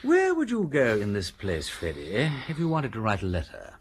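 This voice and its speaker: An actor playing a flippant aristocratic amateur detective in a radio drama, with airy, clipped upper-class English speech. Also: male voice, lowish voice, huge pitch swings, moderate pace, slight background noise. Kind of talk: British radio drama acting.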